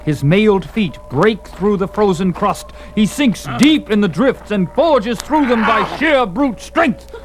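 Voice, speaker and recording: A man's voice: high voice, expressive, slow pace. A man narrating a dramatized story in an intense, theatrical and portentous manner. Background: prominent music.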